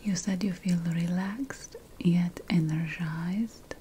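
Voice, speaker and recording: A woman playing a calm, ceremonial ancient witch priestess in an ASMR roleplay, mostly whispering in a breathy, soothing and deliberate way. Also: fairly low pitch, slight background noise. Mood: gentle, attentive. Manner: slow, soothing, deliberate, repeating phrases.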